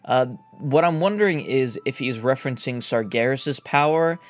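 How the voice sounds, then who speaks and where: A man's voice: mid-range voice, moderate pace. A man hosting a gaming video, giving informal lore commentary in a hesitant, explanatory way, as if thinking aloud. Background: background music.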